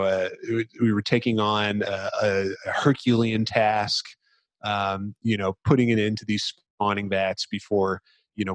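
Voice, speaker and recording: A man's voice: on the low side, no echo. A man hosting a podcast, talking casually and jokingly about a video game. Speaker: podcast host.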